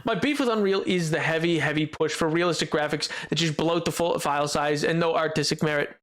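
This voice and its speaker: A man's voice: on the high side, fairly even intonation, fairly quick, fairly bright tone, faint music behind. A man streaming and chatting casually with viewers. He talks playfully, rambling with lots of fillers and restarts. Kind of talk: casual live-stream chat, answering viewers.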